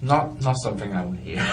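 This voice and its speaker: A man with a very deep voice who is not a native English speaker talks slowly and haltingly. He gropes for words and breaks into nervous little laughs. He is picked up from a distance in an echoey interview room with faint background noise. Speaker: murder suspect being interrogated. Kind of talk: hesitant interrogation answers.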